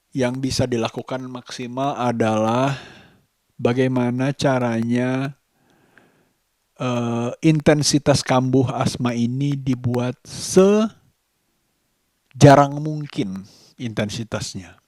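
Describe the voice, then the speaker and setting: A man from Indonesia talks casually to his vlog, thinking aloud in a reflective, halting way as he searches for words. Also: medium pitch, dark tone, no room sound. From Sukabumi, West Java, Indonesia. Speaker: vlogger, host of his own daily vlog.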